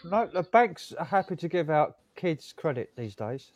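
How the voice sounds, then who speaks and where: A man hosting a stream, chatting casually in a relaxed, matter-of-fact way. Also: a little high, normal speed, over a noisy crowd. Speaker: stream host. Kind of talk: casual chat.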